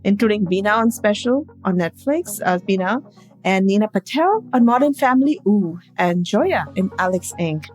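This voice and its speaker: A woman's voice: medium pitch, expressive, normal speed, soft background music. A woman from New York co-hosting a podcast, giggly and enthusiastic as she reads out a guest's credits. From New York City. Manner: giggly, enthusiastic, stumbles over names. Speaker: podcast co-host. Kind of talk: casual chat, reading guest introduction.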